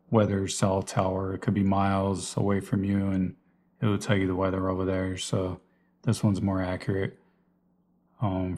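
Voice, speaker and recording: A man's voice: deep voice, darkish tone, a little hiss or hum. A man giving a casual, unscripted walkthrough of his gear in a plain, explanatory way, like a vlogger. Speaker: vlogger presenting personal radio gear.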